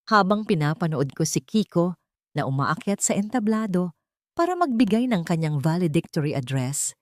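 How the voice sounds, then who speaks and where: A woman's voice: deep voice, darkish tone, dry recording, clean studio sound. A woman with a Filipino accent narrating a first-person audio story in a reflective, lyrical way.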